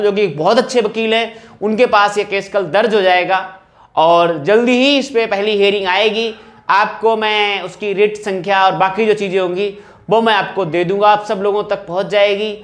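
A man speaking insistently in an informal update to exam candidates, like a coaching teacher appealing to students. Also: high voice. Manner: insistent, repeating rhetorical questions and appeals.

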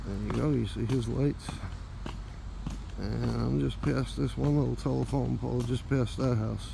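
A man's voice: mid-range voice, steady tone, unhurried, creaky. A man vlogging outdoors at night as he walks, rambling to the camera he holds. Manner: rambling, talking to the camera while walking. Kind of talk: casual narration while walking. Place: outdoors on a quiet street at night. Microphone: phone camera held while walking. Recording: noisy outdoor phone recording. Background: idling diesel engine.

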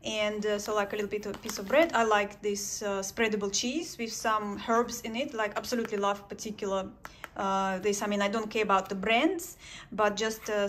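A woman's voice: on the high side, a bit bright, slight background noise. A woman vlogger chatting casually through a grocery haul at home, hesitant, with many ums and self-corrections. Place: home, indoors at a table.

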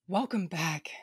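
A tough, plain-spoken female space fighter acted by a woman in a sci-fi audio drama. Her voice is low, husky and forceful, recorded dry over music. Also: no room sound, heavily acted. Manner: blunt, tough. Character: blunt, hard-swearing female space fighter, loyal comrade.

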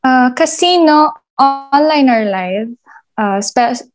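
High-voiced Filipino woman. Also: animated, slow. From Philippines. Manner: code-switching, hesitant with fillers. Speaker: guest, gaming industry supervisor. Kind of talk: interview answers over video call. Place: indoors on a video call. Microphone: video call.

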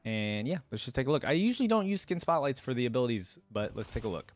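A man's voice: medium pitch, big pitch swings, quick pace, soft background music. Casual young man reacting on mic. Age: young adult. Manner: informal, thinking aloud. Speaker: reaction video host. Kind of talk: casual reaction commentary, reading aloud. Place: indoor gaming setup. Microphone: desk microphone up close, headset on.

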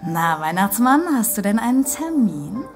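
A woman's voice: medium pitch, very animated, normal speed, over music. A woman acting in a German audio drama as a brazen brothel receptionist, saucy, mocking and bossy.

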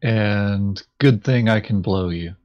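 Very slow-talking, low-voiced man at a game. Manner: halting, thinking aloud. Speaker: tabletop game player. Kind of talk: casual gameplay chat. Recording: dull lo-fi voice chat audio.

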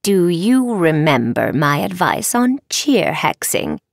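Casting: a woman as a knowing, stern witch professor for a storybook; measured, formal delivery with very deliberate pacing. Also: on the low side, sing-song, vocal fry, no echo, clean studio sound, exaggerated voice.

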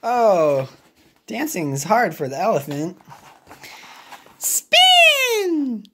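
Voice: mid-range voice, very animated, slow, very noisy. An English teacher reading a picture book aloud with playful murmured asides and small interjections. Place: indoors at a wooden table.

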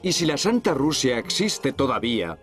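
A man's voice: mid-range voice, sing-song, moderate pace, a bit bright, soft background music. Earnest proud townsman.

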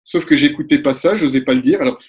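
A man in his forties, a couples therapist, explaining ideas in a lively webinar talk and acting out short exchanges, recorded through a webcam at home. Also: fairly high pitch, husky. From Italy. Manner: animated, gestures a lot, acts out quick exchanges. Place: room at home. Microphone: webcam on a video call.

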